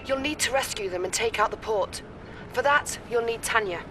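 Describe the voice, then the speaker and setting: A woman's voice: fairly high pitch, moderate pace, noisy background, close to an everyday voice. An actress in her twenties playing an earnest, formal military intelligence lieutenant briefing a player in a game. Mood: earnest, urgent. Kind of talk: acted game mission briefing.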